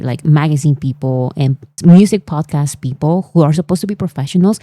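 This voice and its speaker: Woman, spanish first language, low mellow voice, ranty but jokey. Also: dry recording, clean studio sound. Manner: opinionated, self-deprecating, joking asides. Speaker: video essayist reacting to reactors. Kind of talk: casual commentary rant.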